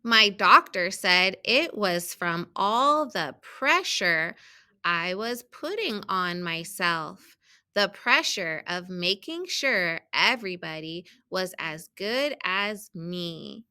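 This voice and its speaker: A woman voicing an earnest, helpful, overburdened egg from a children's storybook, reading expressively and deliberately with emphatic exclamations. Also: on the low side, lively intonation, sharp tone, clean tone, pitched below the performer's own voice, exaggerated voice. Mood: weary, exasperated. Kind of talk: children's picture book storytelling.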